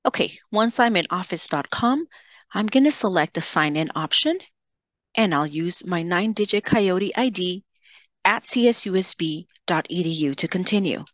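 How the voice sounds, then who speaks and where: A woman software trainer giving an instructional screen-share tutorial, explaining in a measured way and addressing learners directly. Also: on the low side, lively intonation, no room sound.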